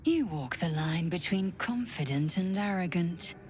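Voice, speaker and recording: Self-assured game companion girl, slightly low voice, dry and smug, cool delivery. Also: expressive, measured pace, a little mellow, a little hiss or hum. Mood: wry, amused. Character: sly, self-assured young female fantasy companion. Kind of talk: fantasy video game acting.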